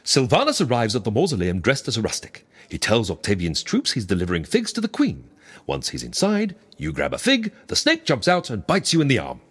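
A dry, formal fixer in a comedy audio drama. The voice is husky and swings very widely in pitch, selling schemes in a measured, businesslike way. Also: wildly swooping pitch, fairly bright tone, heavily acted. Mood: persuasive. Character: wry, businesslike fixer who arranges faked deaths for clients. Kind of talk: comic audio drama acting.

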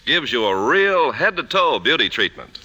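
A man's voice: mid-range voice, sing-song, normal speed, pushed and bright, long reverb tail, rough recording. A man announcing in scripted 1950s radio advertising style.

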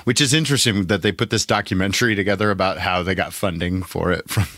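A man's voice: low-pitched, fast talker, a bit bright, no room sound, clean studio sound. A man co-hosting a podcast, joking and chatting casually. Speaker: podcast co-host. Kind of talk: casual chat.

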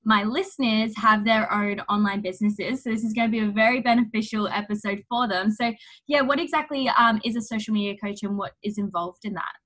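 A woman's voice: medium pitch, brisk, fairly bright tone. A woman hosting an interview podcast, speaking in an upbeat, enthusiastic way. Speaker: podcast host.

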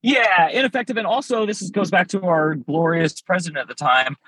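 Husky-voiced man, candid podcast guest. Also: high voice, a little fast, fairly bright tone, dry recording, poor audio. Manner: candid, rambling, frequent "like" fillers. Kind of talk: casual podcast interview.

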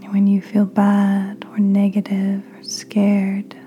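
Slow, breathy, mellow woman guiding meditation. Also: mid-range voice, completely flat delivery. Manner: gentle, soothing. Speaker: podcast host, meditation guide. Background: soft music.

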